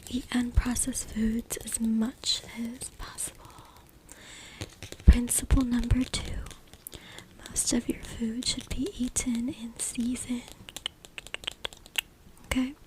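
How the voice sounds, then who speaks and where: A woman reading aloud in a soft, hushed, deliberate ASMR whisper, close to a USB microphone. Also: a little high, level tone. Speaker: ASMR creator reading from a book. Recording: noisy close USB mic with clicking. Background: noise, tapping and clicking sounds.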